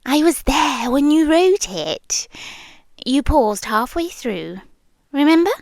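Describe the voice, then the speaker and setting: A possessive, lonely British girlfriend, played by a woman, murmurs like a voice inside your head. Her high voice moves slowly and teasingly, often slipping toward a whisper. It is intimate ASMR roleplay, close and completely dry on the microphone. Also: sing-song, no room sound, exaggerated voice. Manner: soft, teasing, drifting between murmur and whisper. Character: possessive, lonely, playful yandere girlfriend living as a voice in the listener's head.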